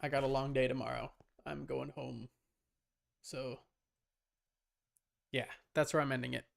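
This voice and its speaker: A man's voice: medium pitch, level tone, measured pace, creaky. A man livestreaming a game with casual, informal commentary, muttering to himself and his chat. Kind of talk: casual live gaming commentary.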